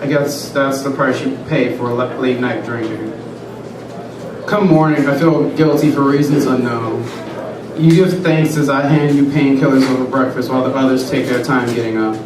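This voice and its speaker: A man reading his own first-person prose aloud at a live reading, in a quiet, measured way. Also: mid-range voice. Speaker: student reader. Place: large room at a live reading. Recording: echoey room, far from the mic, noisy.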